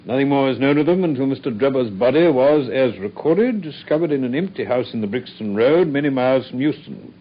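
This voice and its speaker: An actor playing an educated, curious Victorian gentleman with an English accent and a genteel, measured manner in a radio drama. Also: mid-range voice, lively intonation, lightly acted. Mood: measured reading. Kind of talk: British radio drama acting. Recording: muffled radio drama recording.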